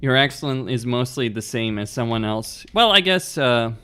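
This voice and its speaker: A man's voice: medium pitch, animated, normal speed, pushed and bright, clean tone. A young man from Romania, streaming a game and chatting bluntly with viewers, laughing often and swearing freely. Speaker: gaming streamer. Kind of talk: casual live-stream chat, answering viewers.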